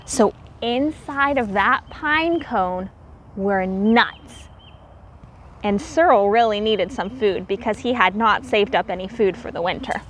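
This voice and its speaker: A woman reading a story aloud to young children as a teacher, expressive and explaining things simply. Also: mid-range voice, takes it slowly, a little hiss or hum.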